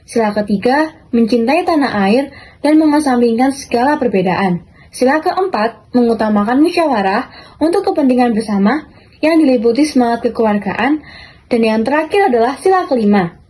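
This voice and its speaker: A woman's voice: on the high side, warm tone. A teenage young woman, reading scripted educational content as a student podcast presenter. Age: teens, high school student.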